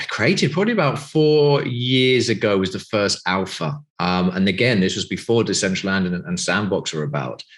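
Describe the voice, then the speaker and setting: A man's voice: low-pitched, a bit bright. A British man in his forties from the UK, answering interview questions in a loose, rambling way with many fillers and restarts over a video call. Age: 40s. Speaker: game studio CEO.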